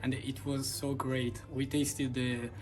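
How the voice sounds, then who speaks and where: A man giving a casual video testimonial, pausing often to search for words. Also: medium pitch, level tone, music in the background. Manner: searching for words with many fillers.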